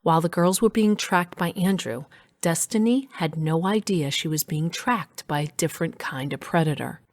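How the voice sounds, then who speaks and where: An actress playing a storyteller who narrates an audio drama in a measured, matter-of-fact way. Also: female voice, fairly low pitch, no echo, close to an everyday voice. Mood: knowing, measured. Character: matter-of-fact female storyteller recounting her dark tale. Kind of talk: scripted narration.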